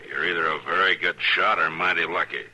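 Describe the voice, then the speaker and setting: An actor playing a calm, dry-humored frontier marshal in a 1950s radio western. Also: male voice, deep voice, a little fast, breathy edge, full character voice. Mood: laconic. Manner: dry, even, laconic. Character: calm steady frontier lawman. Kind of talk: 1950s radio drama acting.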